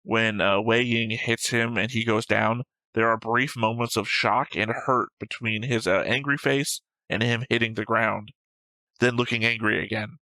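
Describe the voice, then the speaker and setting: A man's voice: fairly low pitch, steady tone, moderate pace, sharp tone, dry recording, rough recording. A man co-hosting a reaction show, casually analysing and arguing his points in a matter-of-fact way. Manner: argumentative, matter-of-fact, picking apart points. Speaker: co-host of an anime reaction show. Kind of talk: casual analytical debate, discussion.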